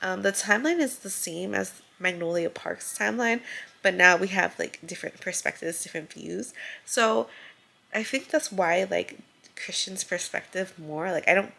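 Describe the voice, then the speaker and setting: A woman's voice: lowish voice, normal speed, vocal fry, sharp tone. A young woman with a congested, stuffy voice, chatting casually about her reading in a rambling vlog, full of "like". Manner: chatty, heavy use of filler "like". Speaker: book vlogger.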